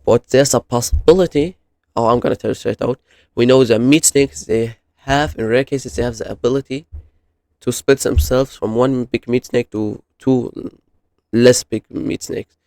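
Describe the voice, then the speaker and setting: Man with creaky voice rambling through casual commentary. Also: medium pitch, fairly even intonation, darkish tone, dry recording. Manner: halting, rambling, self-correcting. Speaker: YouTube host reviewing horror videos. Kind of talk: casual unscripted explainer commentary.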